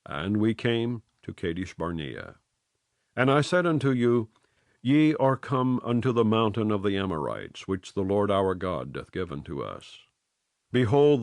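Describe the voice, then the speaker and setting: A man reads scripture in slow, solemn phrases, each line set apart. His voice sits fairly low but moves widely in pitch. The sound is close and dry, with no room around it. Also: no room sound. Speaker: Bible reader.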